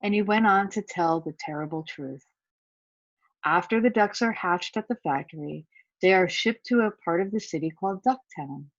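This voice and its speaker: Female storybook reader, somewhat husky and lowish, chatting to young listeners between lines. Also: moderate pace, no echo, muffled recording. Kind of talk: children's picture book read-aloud with asides to listeners.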